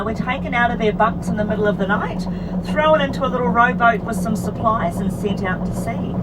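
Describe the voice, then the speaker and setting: A woman from New Zealand with a New Zealand accent, giving a chatty guided tour commentary as a bus driver, telling the history with casual asides. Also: a little high. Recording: distant voice under loud bus noise. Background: vehicle engine and road noise.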